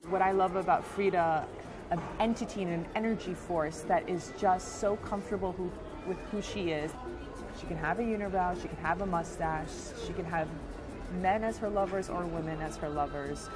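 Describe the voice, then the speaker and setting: Earnest American woman, low voice, reflective interview with a clear music bed. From United States. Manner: earnest, thoughtful, speaks in short phrases with pauses. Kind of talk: reflective personal account.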